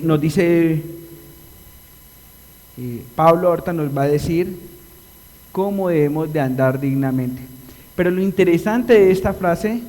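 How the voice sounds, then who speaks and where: A man preaching a live sermon in a chapel, explaining a text and asking the congregation questions, drawing out key words slowly. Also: high voice, mellow. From Colombia. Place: church chapel. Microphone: livestream recording. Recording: long reverb of a church hall.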